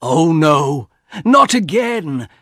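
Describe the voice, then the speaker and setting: Tired old husband, acted by a narrator: frightened, sorrowful, animated pitch, emphatic protests. Audiobook. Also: husky, dry recording, studio quality, full character voice. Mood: horrified protest. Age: older. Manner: anxious, drawn-out emphatic protest. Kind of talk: audiobook character acting.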